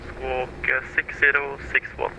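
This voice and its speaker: A man's voice: medium pitch, monotone, unhurried. A man working as an air traffic controller, giving clipped, formal procedural instructions over aviation radio. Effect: aviation radio transmission. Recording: muffled, noisy aviation radio channel.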